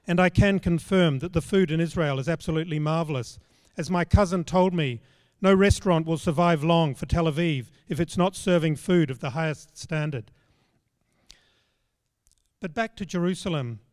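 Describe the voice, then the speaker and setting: A man's voice: a little high, darkish tone. Older man preaching in a hall. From Australia. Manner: measured, storytelling, plain spoken. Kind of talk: sermon. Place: large church stage. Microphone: handheld microphone.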